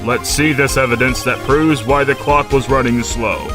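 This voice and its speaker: Guy doing a formal old judge, authoritative and deliberate, game dub, music playing behind. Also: mid-range voice, close to an everyday voice. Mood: stern. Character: solemn, authoritative old male courtroom judge. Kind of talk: video game fandub acting.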